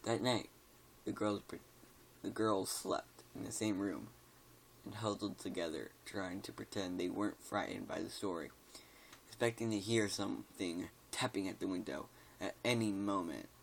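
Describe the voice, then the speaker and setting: A man's voice: low voice, moderate pace, gravelly, slight background noise, poor audio. A tired man casually reads a scary story aloud, stumbling over words and joking about them.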